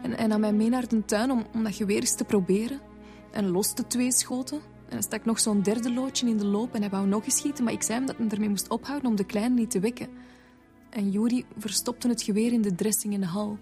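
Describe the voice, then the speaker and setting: Actress as guilt-ridden Flemish mother, high voice, intense, emotional, radio drama, music behind. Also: fairly even intonation, a little fast, warm tone, lightly acted. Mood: confessing. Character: distraught young mother, guilt-ridden and protective. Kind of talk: naturalistic radio drama acting.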